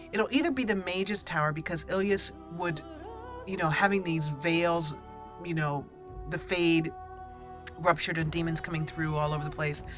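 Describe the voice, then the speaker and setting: A woman hosting a roleplay gameplay video, chatty and friendly, laughing easily as she talks her viewers through the game. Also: fairly low pitch. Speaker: gaming channel host. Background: game music.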